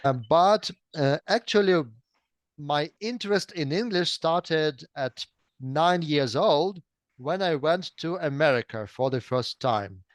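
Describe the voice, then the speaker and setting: Clear-voiced Russian man, careful speaker. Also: on the high side, expressive, slow, dry recording. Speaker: interview guest, simultaneous interpreter. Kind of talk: conversational interview answers about own career.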